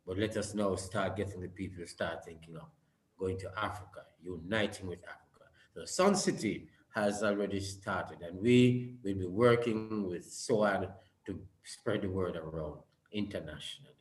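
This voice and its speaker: A man's voice: deep voice, moderate pace. A man giving an earnest summit speech over a video call, often repeating words as he builds his points. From Jamaica. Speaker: summit speaker. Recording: roomy echo.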